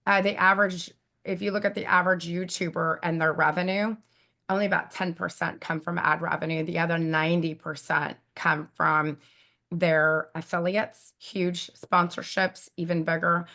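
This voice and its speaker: A woman with a raspy, squeaky voice, chatting casually as an interview guest and giving advice with lots of fillers and emphatic repeats. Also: bright, forceful tone. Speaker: guest YouTube expert.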